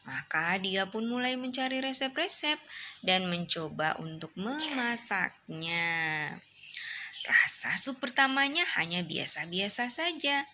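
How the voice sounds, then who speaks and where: Slow, animated mother reading a story aloud. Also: mid-range voice, a little hiss or hum. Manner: slow, expressive, often whispering and questioning the child. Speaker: mother reading to her child. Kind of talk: read-aloud storytelling to a child.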